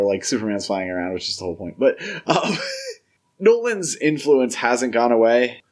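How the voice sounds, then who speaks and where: A man co-hosting a podcast in casual film discussion, talking conversationally and peppering sentences with "like" and "you know". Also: mid-range voice. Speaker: podcast co-host.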